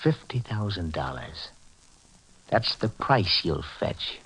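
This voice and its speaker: An actor playing a relentless hard-boiled bounty hunter, mocking and menacing in 1940s radio drama style. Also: male voice, deep voice, sing-song, takes it slowly, fairly bright tone, slightly rough, heavily acted, poor audio. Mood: cool, menacing.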